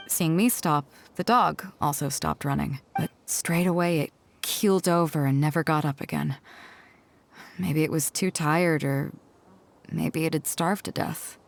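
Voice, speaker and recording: An actress playing a confident, playful yet reflective woman sea captain in video game dialogue, with a self-assured, measured way of speaking. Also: low voice. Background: music.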